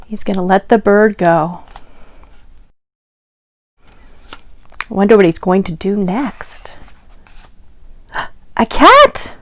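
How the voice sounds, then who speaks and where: A woman leading a children's storytime, reading aloud in an expressive sing-song way and pausing after questions. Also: mid-range voice, no room sound. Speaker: library storytime reader. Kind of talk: interactive children's read-aloud.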